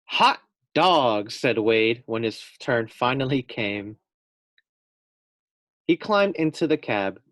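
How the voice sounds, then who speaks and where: A man's voice: medium pitch, animated, takes it slowly, long pauses, no echo. A man reading a children's storybook aloud as a teacher, expressively, putting questions directly to young listeners.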